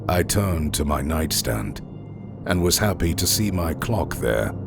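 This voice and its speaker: A man reading scripted horror stories as a narrator, in a deliberate, suspenseful way with drawn-out phrase endings. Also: extremely low pitch, sing-song, gravelly. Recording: music under the voice. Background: background music.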